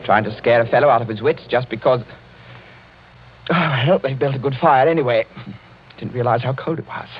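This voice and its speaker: An actor playing a brave but edgy young lord in a radio mystery, speaking in a clipped, defiant way. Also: male voice, medium pitch, fairly quick, pauses often, husky, natural voice. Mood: nervous bravado. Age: young adult. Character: brave, brusque young English lord on edge. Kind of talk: 1940s radio mystery acting. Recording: muffled, noisy 1940s radio recording.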